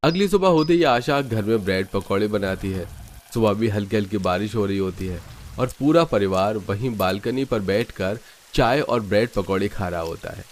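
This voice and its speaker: Low-voiced man narrating a story. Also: moderate pace, a little hiss or hum. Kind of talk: scripted Hindi family-drama cartoon narration.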